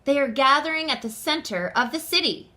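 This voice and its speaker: A woman's voice: high voice, animated, fairly quick, sharp tone, pitched above the performer's own voice. A woman voicing a kindly male train conductor in a children's storybook, speaking in an emphatic, proclaiming way. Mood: informative. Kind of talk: children's storybook read-aloud.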